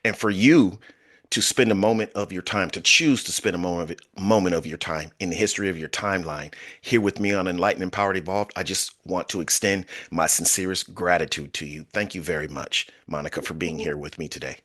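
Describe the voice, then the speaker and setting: A man hosting a podcast interview, welcoming his guest in an effusive, formal way with drawn-out phrasing. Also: low voice, a bit bright. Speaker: podcast host.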